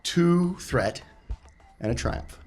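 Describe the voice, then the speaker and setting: A man playing a tabletop role-playing game, talking casually in a firm, deliberate, argumentative way. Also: fairly high pitch, animated, darkish tone, faint music behind. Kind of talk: casual in-character and table talk.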